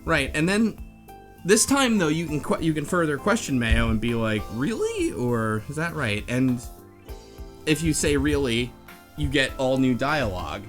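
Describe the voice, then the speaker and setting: A man's voice: medium pitch, very animated, a little slow, fairly bright tone. A man hosting a casual book club chat, talking in a rambling, blunt and slangy conversational way. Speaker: podcast host. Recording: music behind the voice. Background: background music.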